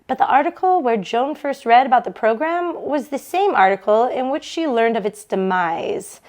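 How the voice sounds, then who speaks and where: Higher-voiced woman reading a novel aloud. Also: expressive, normal speed, a little mellow. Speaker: book club read-along host for a bookstore.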